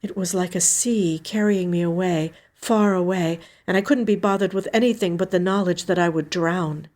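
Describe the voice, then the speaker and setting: A woman reading a short story in the first person, with measured, somber, dramatic narration. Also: on the low side, darkish tone.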